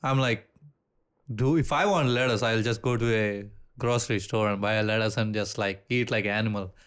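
A man with an Indian accent, co-hosting a casual podcast chat, relaxed, swearing freely and often restarting his sentences. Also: lowish voice, moderate pace, clear, resonant tone. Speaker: podcast co-host.

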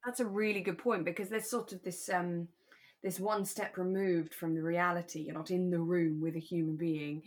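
A British woman with a slightly low voice co-hosts a relaxed podcast. She retells and comments in an expressive, conversational manner, as in an easy chat. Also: moderate pace. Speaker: podcast co-host. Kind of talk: relaxed radio drama recap chat.

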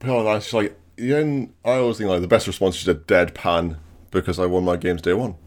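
English host, very low voice, jokey and rambling. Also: male voice, brisk. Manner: animated, joking, asks rambling questions. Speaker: podcast host. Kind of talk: casual chat.

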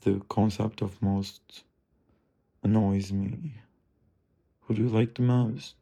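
Man, low voice, very slow, musing self-talk, profane, drifting. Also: steady tone, gravelly, warm tone. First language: Turkish. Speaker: streamer. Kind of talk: rambling self-talk monologue.

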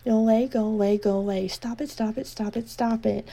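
A woman's voice: a little high, steady tone, brisk, gravelly, warm tone. A woman voicing a frightened teenage boy who pleads again and again in panic. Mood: panicked. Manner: panicked, repeating pleas.

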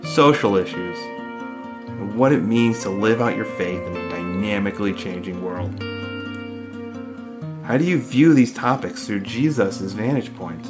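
A man with a low voice reads a church promo in a smooth, scripted way. Plucked guitar music plays clearly underneath him. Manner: smooth read promo delivery. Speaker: announcer.

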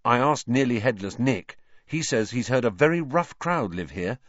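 An informal, chatty teenage schoolboy, voiced by a man reading a storybook. He sounds a little uneasy, with a highly animated pitch. The recording is dry. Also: moderate pace, no room sound, muffled recording. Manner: muttered, informal.

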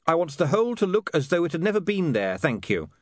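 Man playing an earnest, exasperated young newspaperman, animated pitch. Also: quick pace, no echo, clean studio sound. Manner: precise, formal, indignant.

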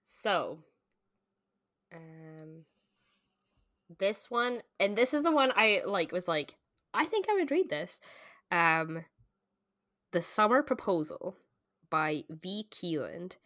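Woman podcast co-host, lower-pitched voice, dry close sound, casual bookish chat. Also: very animated, slow pace, long pauses, fairly bright tone, no echo. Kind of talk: casual book chat, reading aloud.

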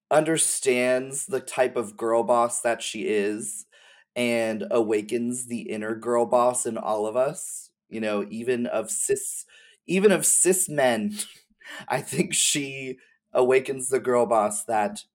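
A man's voice: mid-range voice, slow. A man talking casually as a podcast guest, in an effusive, slangy way. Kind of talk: casual chat.

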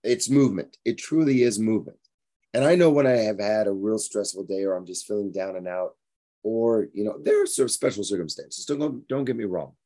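A hoarse, tired man in his forties, hosting a fitness podcast in a frank, rambling motivational monologue. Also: on the low side, fairly quick, warm tone. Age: 40s. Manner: rambling, frank, repeats himself.

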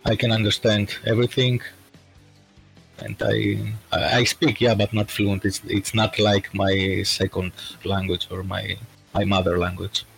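A man from Greece chatting as a podcast guest, speaking slowly and hesitantly with lots of fillers. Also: lowish voice, flat delivery, gravelly, a bit bright, faint music behind, low-quality recording. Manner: slow and hesitant, many fillers. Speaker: podcast guest, bot creator. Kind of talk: casual chat.

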